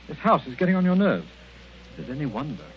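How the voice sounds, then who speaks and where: A protective gentleman doctor under threat in a 1940s radio mystery. His voice is high and very animated, urgent and earnest, stammering under strain. The old broadcast is dull and noisy. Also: fast talker. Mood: concerned, reassuring. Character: alarmed, protective gentleman doctor trapped by a madman. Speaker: actor in a radio mystery drama. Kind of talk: 1940s American radio acting.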